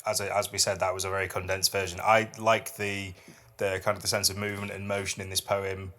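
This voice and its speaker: A man's voice: sits low, flat delivery, brisk, bright, forceful tone. A middle-aged British man hosting a podcast, chatting casually with a wry, self-deprecating touch and reading poetry dramatically. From United Kingdom. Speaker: podcast host and dad.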